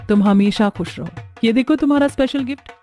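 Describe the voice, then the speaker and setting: A woman's voice: a little high, fairly quick, warm tone, faint music behind, close to an everyday voice. A woman playing a generous, caring young elder sister-in-law in a cartoon family story. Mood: affectionate.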